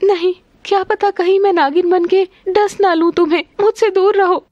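A woman's voice: very high voice, fairly even intonation, moderate pace, dry recording, lo-fi sound, pitched above the performer's own voice. A woman voicing a distressed young serpent's daughter in a cartoon story, pleading and plaintive. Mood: anguished.

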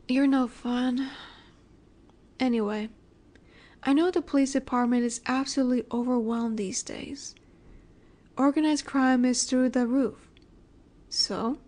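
A woman performs a female mafia boss with a distinctly high voice. She is coy and self-assured, speaking deliberately to the listener in an audio roleplay. Menace sits under her flirtatious, teasing manner. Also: a little mellow. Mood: sly, teasing.

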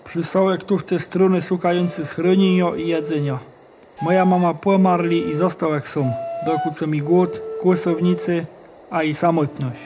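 A man playing a big, shaggy, friendly bear in an audio play, earnest and humble, with a Polish Goral highland accent. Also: fairly even intonation, normal speed, noisy background, natural voice. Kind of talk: audio play dialogue in dialect.

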